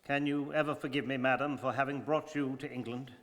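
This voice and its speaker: A man playing a reserved, precise English gentleman in a radio drama, speaking formally and with stoic restraint. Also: medium pitch, steady tone, brisk, lightly acted. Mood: grave, resigned. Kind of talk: stage radio drama acting. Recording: very reverberant studio hall.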